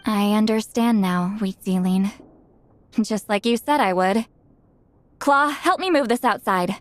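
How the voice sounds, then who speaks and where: A woman playing a guarded, grumbling but soft-hearted female shaman in an anime-style game, dry and understated. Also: mid-range voice, unhurried, natural voice. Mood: quietly moved. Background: faint music.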